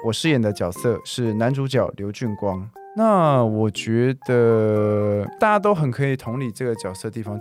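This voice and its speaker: A man with a Taiwanese Mandarin accent, an actor speaking reflectively to listeners in a measured, thoughtful way. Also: fairly low pitch, darkish tone. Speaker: actor, guest sharing thoughts on a role. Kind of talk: reflective promotional message to listeners.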